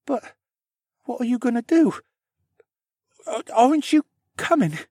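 A man's voice: extremely high pitch, very animated, a little slow, dry recording, clean studio sound, pitched above the performer's own voice, exaggerated voice. A man voicing an anxious, eager teenage boy in a story, with a cracking, hesitant voice. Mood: pleading, shaky. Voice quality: cracking, strained young voice. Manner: stammering, hesitant.

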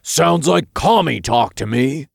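A man voicing a brash male imp who puts on a gruff war general's voice, bossy and slangy. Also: mid-range voice, animated, takes it slowly, no room sound, studio quality, voice pushed up, exaggerated voice. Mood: blustering. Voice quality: gruff, put-on general's bark.

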